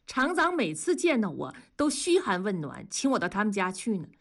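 A woman voicing a fierce, sharp-tongued wife in an audiobook, jealous and scornful, scolding and interrogating. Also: a little high, sing-song, brisk, slightly rough, pitched above the performer's own voice. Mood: cold scorn. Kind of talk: audiobook character dialogue.

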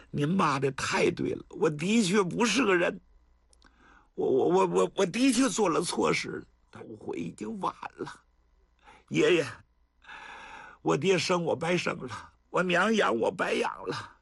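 A man's voice: very high-pitched, vocal fry, slightly rough, no echo, studio quality, voice pushed up, heavily acted. A male storyteller playing a proud young warrior who pleads tearfully in a raised, choked voice.

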